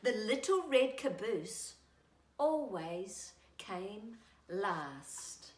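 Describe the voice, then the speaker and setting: A woman hosting storytime, speaking in an animated, singsong way to young children as she reads a picture book. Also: mid-range voice, extremely slow, roomy sound. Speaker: storytime host and children's author.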